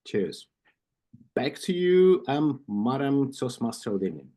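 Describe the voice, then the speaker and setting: Slow-reading man with Ukrainian accent. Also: mid-range voice, big pitch swings, warm tone. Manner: slow, careful reading with asides and self-corrections. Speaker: club vice president of membership. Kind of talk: reading an induction ceremony script at an online club meeting. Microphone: video call.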